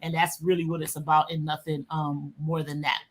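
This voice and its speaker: A woman's voice: sits low, little pitch movement, a little fast. A woman co-hosting a political talk show whose first language is not English, speaking in a rambling, conversational way. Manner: rambling, many fillers and restarts. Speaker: co-host.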